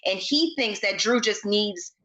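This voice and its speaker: Bright-voiced woman podcaster, slightly dull audio. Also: medium pitch, a little slow. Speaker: podcast co-host. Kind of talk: casual TV recap chat.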